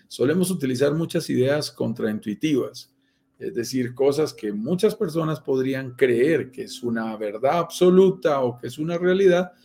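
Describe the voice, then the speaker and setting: A Colombian man hosting a live stream, pitching property investment in an upbeat sales manner with frequent fillers. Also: lively intonation, moderate pace, dark tone, a little hiss or hum. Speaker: host, sales director of a property firm.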